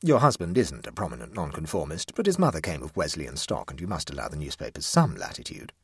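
A man's voice: extremely low pitch, big pitch swings, fast talker, breathy edge, no room sound, studio quality, exaggerated voice. A man voicing an idle, flippant young house guest in a storybook, lazily and drily mocking. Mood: mischievous teasing.

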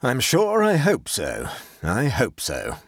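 A morose English major, performed by a male storybook narrator, whose pitch moves up and down freely as he grumbles. He is a gloomy hunting man who complains and repeats himself. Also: big pitch swings, normal speed, a bit bright, husky, dry recording, clean studio sound, full character voice. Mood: moody, grumbling.

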